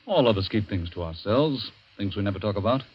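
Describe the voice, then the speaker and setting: An actor playing a calm middle-aged family doctor in 1940s radio drama, measured, reassuring and gently probing. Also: male voice, fairly low pitch, husky. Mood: courteous, measured. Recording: dull vintage radio recording.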